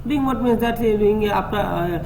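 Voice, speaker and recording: Bangladeshi man demonstrating, noisy recording. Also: high voice. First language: Bengali. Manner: halting, repetitive step-by-step explaining. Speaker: tutorial presenter. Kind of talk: hands-on technical demonstration. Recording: clearly noisy room recording.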